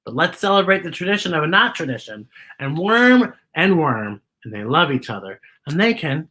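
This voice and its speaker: A woman's voice: low voice, big pitch swings, normal speed, fairly bright tone. A woman hosting a story time for young children, talking expressively and putting questions to her listeners. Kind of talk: animated picture-book storytelling for young children.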